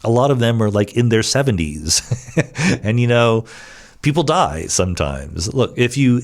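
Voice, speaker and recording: A man's voice: mid-range voice, darkish tone. A man hosting a podcast, explaining things in a casual, conversational monologue with emphatic rhetorical asides. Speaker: podcast host answering listener questions. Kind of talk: casual explanatory monologue, reads questions aloud.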